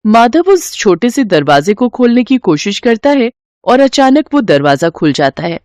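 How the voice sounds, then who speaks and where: Animated woman storyteller. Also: fairly high pitch, moderate pace, no echo. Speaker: narrator. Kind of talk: scripted children's story narration.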